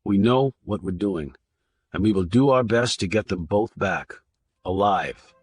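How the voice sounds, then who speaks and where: Man voicing a calm male investigator. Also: on the low side, a little slow, slightly rough, no echo. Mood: steady. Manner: steady and reassuring. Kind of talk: audiobook narration.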